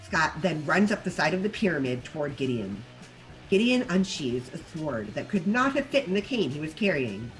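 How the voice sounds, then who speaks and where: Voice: mid-range voice, sing-song, moderate pace, a bit bright, soft background music, rough recording. A narrator reading scripted stage directions for a table read.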